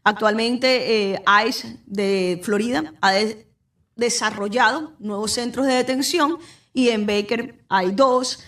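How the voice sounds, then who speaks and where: A woman's voice: on the high side, slow, fairly bright tone. A young adult woman lawyer with a Venezuelan accent, hosting a podcast and explaining legal matters directly to the listener. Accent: Venezuelan Spanish. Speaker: immigration lawyer, podcast host. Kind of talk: informative solo talk. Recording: voice somewhat far from the mic.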